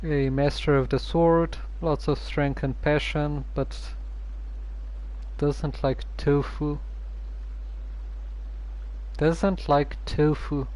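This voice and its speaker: A man streaming a game, commenting casually in a halting way and stumbling over words. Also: on the high side, flat delivery, a little mellow, rough recording.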